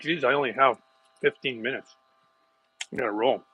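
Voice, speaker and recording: A middle-aged man chatting casually with livestream viewers while making a smoothie in his home kitchen, rambling from one thought to the next. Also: medium pitch, moderate pace, lo-fi sound, slight background noise. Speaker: wellness vlogger.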